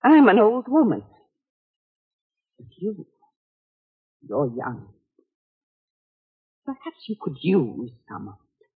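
A dull 1950s radio recording of an old housekeeper, defiant and scheming. The voice is husky and swings widely in pitch, with indignant, theatrical emphasis. It is the cornered thief of a radio play. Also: female voice, huge pitch swings, slow pace, long pauses, full character voice. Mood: bitter, pleading. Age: older. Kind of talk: 1950s radio drama acting.

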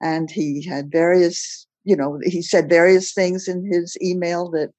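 An older woman from Belgium, recounting her life story as a podcast guest in a measured, plain-spoken way. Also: fairly low pitch, little pitch movement, a little mellow, no echo. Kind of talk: personal storytelling in a podcast interview.

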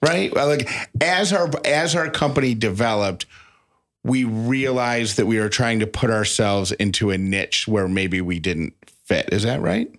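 A man's voice: lowish voice, unhurried, fairly bright tone. A man co-hosting a podcast in casual conversation, often rephrasing himself as he goes. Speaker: podcast co-host.

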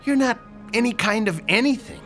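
A man's voice: extremely high pitch, lively intonation, moderate pace, creaky, faint music behind, heavily acted. An actor playing a pushy, sarcastic older landlord in a radio drama, lecturing his tenant about rent. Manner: sarcastic, lecturing, theatrical swings from shouting to hushed.

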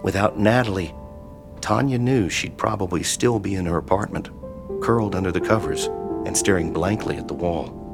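A man acting as the measured, sombre narrator of a scripted audio drama, telling the story in the third person. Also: low-pitched, vocal fry, over music.

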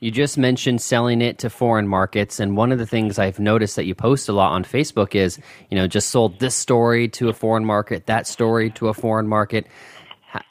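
A man's voice: fairly low pitch, fairly even intonation, fairly quick, dry recording. A man hosting a podcast interview, asking questions in an easygoing way with brief affirmations. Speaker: podcast host. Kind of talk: casual interview.

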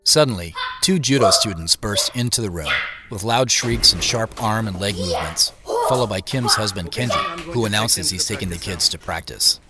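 A man's voice: fairly low pitch, normal speed, music in the background, close to an everyday voice. A man acting as a wry, guarded younger brother and CEO in an audio drama, narrating in a conversational way. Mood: reflective. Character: adult brother, a celebrity CEO, playful and guarded. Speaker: actor and narrator. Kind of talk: first-person audio drama narration and dialogue.

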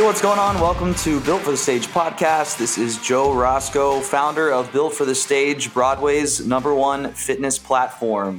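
A man's voice: medium pitch, fairly bright tone. Upbeat man hosting a podcast. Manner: upbeat, with frequent "uh" fillers. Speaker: podcast host. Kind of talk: casual interview.

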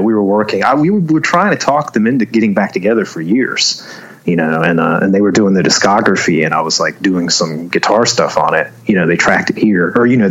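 A man's voice: fairly low pitch, lo-fi sound. A man in his forties from North Carolina, chatting as a guest over a video call, rambling with lots of fillers and frequent laughs. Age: 40s. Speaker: guest musician.

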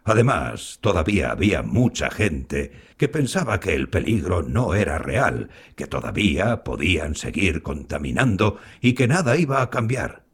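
An actor playing a kindly old grandfather scientist in an audio drama, patiently explaining things to a child with a gravelly, husky voice. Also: deep voice, very animated, normal speed, hardly any pauses, heavily acted. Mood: patient, explanatory. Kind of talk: scripted audio drama dialogue.

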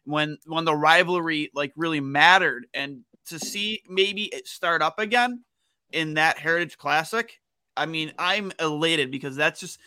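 A man from Western New York co-hosting a casual hockey podcast, talking in a halting way and repeating words as he builds his point. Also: fairly high pitch, sharp tone, dry recording. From Western New York, United States. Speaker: sports podcast co-host.

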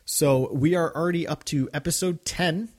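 A man's voice: on the high side, normal speed. A man co-hosting a podcast in casual chat, earnest and chatty with many fillers. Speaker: podcast co-host.

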